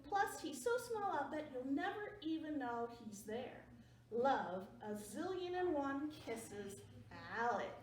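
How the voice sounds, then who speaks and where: A woman's voice: high-pitched, big pitch swings, slow, a little hiss or hum, heavily acted. A woman voicing an eager young boy who writes pleading letters, read aloud from a picture book. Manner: pleading, earnest. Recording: roomy sound from a distance.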